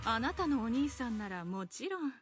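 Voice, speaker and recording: A composed, scheming villainess from an anime-style game. She talks briskly in a high, polished voice, coaxing and condescending, with music playing underneath. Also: sing-song. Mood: mock surprise. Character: composed, taunting, manipulative villainess. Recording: game dialogue over loud music.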